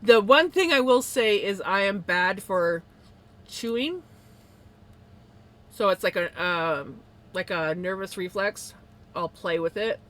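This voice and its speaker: A woman's voice: medium pitch, lively intonation, slow pace, long pauses. A woman live streamer with a slight lisp, chatting casually and rambling to her viewers with many fillers and little laughs.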